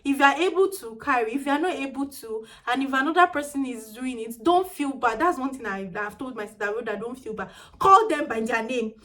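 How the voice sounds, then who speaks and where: A woman's voice: high voice, a little fast, sharp tone. A young woman in her twenties with a Nigerian accent and a small, tiny-sounding voice, chatting animatedly in a casual vlog and slipping in pidgin phrases. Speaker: vlogger.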